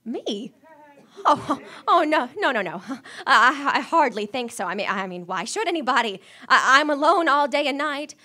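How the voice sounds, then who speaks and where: A woman's voice: high voice, big pitch swings, moderate pace, bright, forceful tone, full character voice. A woman acting a fretful, demanding, self-pitying bedridden wife who stammers nervously, in live radio-thriller theater. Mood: flustered, nervous.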